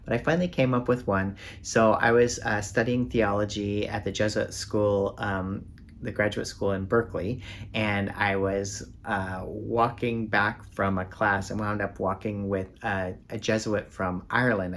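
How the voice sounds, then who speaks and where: A man with a fairly low voice talks casually to the camera in a book vlog. He rambles with plenty of ums and uhs, poking fun at himself. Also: slight background noise. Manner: rambling, self-deprecating, many fillers. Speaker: book vlogger.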